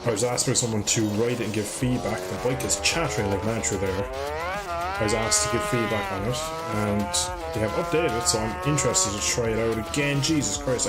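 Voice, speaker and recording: A man chatting his way through a live game stream, rambling and swearing when he crashes. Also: mid-range voice. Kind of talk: live gameplay commentary.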